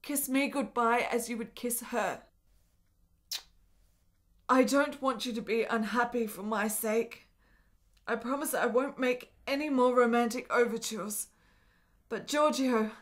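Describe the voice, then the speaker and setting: An actress plays a frail, melancholy woman of the nineteenth century, sick with love. Her voice sits high. She speaks in formal, literary phrases with earnest, melodramatic feeling, in the manner of a radio play. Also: a little slow, pauses often, close to an everyday voice. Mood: pleading. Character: frail, lovesick, melancholy woman in 1870s Italy. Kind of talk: radio drama acting.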